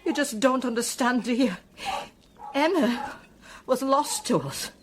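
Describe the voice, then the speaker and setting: A woman acting in a radio drama as a distraught, devout mother, speaking in a halting, emotional way. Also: sits high, background noise. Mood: bitter grief.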